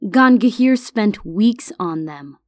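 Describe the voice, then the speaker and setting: A woman's voice: mid-range voice, expressive, slow, a little mellow, no echo, clean studio sound. A woman voicing a doting, scolding old grandmother in an audio drama, with a fussing, affectionate manner.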